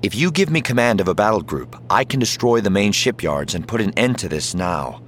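A man voicing a calm, determined Jedi commander in an audiobook, speaking with controlled, persuasive assurance. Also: on the low side, fairly quick, a little hiss or hum. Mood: insistent.

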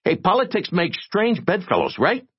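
A man voicing a tiny, frantic, streetwise reporter with a squeaky, irritating little voice, in an audiobook. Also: wildly swooping pitch, normal speed, breathy edge, muffled recording, full character voice. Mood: gossipy. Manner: streetwise slangy American talk.

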